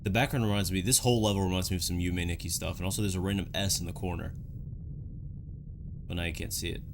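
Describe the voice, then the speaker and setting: A man's voice: sits low, fairly even intonation, quick pace, noisy background. A man giving casual, conversational reaction commentary on game footage, with dryly joking asides. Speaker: host of a video reaction and analysis show. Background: background music.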